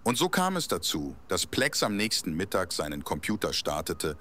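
A man's voice: deep voice, huge pitch swings, moderate pace, sharp tone, a little hiss or hum. A man narrating a scripted audio story as its storyteller.

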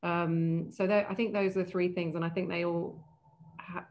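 A woman's voice: fairly low pitch, level tone, normal speed, a little mellow, a little hiss or hum. A woman who works as an opera casting director, talking as a guest on a video call interview, thoughtful and often restarting her sentences. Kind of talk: casual interview talk.

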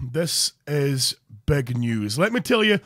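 Lively high-pitched Scottish comic host. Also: male voice, takes it slowly, slightly rough, no room sound, studio quality. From Scotland. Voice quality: crude jokey, animated delivery. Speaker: comedy cooking show host. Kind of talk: comic cooking narration.

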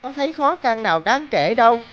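A woman's voice: on the high side, big pitch swings, a little fast, muffled recording. A woman voicing a cheerful, plucky young cartoon monkey with an upbeat, determined manner. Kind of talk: cartoon folk tale dub.

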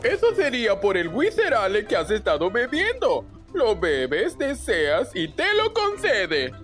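A man plays a cartoon bear bartender with a very sing-song, swooping delivery. He sounds calm and knowing and a bit mocking. Music plays under him. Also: high voice, measured pace, exaggerated voice. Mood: matter-of-fact.

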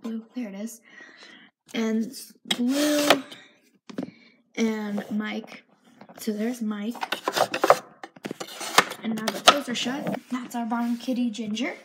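A woman's voice: on the high side, heavy background noise. A young girl chatting casually as she films a tour of her toy horse barn at home, sometimes dropping to a whisper. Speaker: vlogger. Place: home room, indoors. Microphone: phone held in hand while filming.

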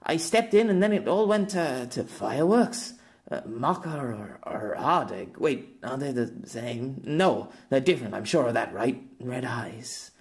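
A man voicing a confused, grumbling middle-aged novelist in an audiobook, halting and repeating his words. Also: medium pitch, animated. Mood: dazed, then confused, searching.

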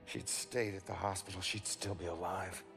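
Hard-boiled detective, middle-aged, rock-bottom pitch, creaky gravel, brooding, terse, video game noir. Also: male voice, steady tone, moderate pace, over music. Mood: grim.

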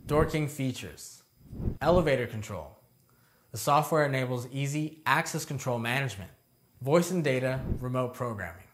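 A man's voice: mid-range voice, expressive, moderate pace, a little hiss or hum. A young adult man presenting a product overview in a scripted narration, reading out short headings in a structured, informative way. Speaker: presenter of product review videos. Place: indoor studio with plain backdrop.

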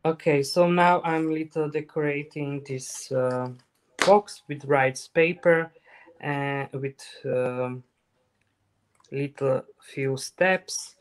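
A man demonstrating a craft project on a live stream, talking slowly and haltingly with many fillers. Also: on the high side. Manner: hesitant, many fillers, halting non-native phrasing. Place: craft table indoors.

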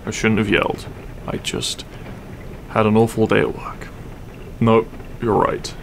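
A man playing a grumpy but secretly caring half-dragon roommate in an audio roleplay, gruff, weary and blunt. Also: fairly low pitch, little pitch movement, measured pace, slight background noise. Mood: tired, apologetic. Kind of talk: audio roleplay acting, spoken to the listener.

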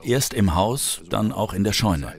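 A man's voice: lowish voice, lively intonation, unhurried, creaky, slight background noise. An actor voicing a male police investigator recounting a case in a dubbed documentary interview. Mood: matter-of-fact.

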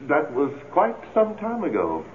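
An actor playing the amorous, coaxing ghost of a philandering husband in a radio drama, pleading and smooth-talking. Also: male voice, a little high, huge pitch swings, unhurried. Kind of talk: old radio drama acting. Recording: tinny, hissy old radio recording.

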